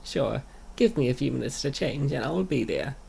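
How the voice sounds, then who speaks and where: A man's voice: mid-range voice, expressive, normal speed, creaky, a little mellow, slight background noise, pitched above the performer's own voice. A man voicing an obliging, busy young wife in her late twenties, eager and accommodating, for a comic dub. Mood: busy, agreeable. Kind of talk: comic dub character acting.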